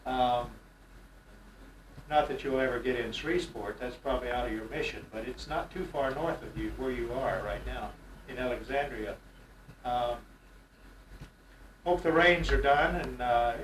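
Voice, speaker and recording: A man's voice: mid-range voice, a little slow, pauses often, distant mic. A man, rambling folksily through a birthday message as a grandfather. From East Texas. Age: 60s. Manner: rambling, folksy, joke-telling. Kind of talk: casual home video message. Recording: echoey room, off-mic, faint noise.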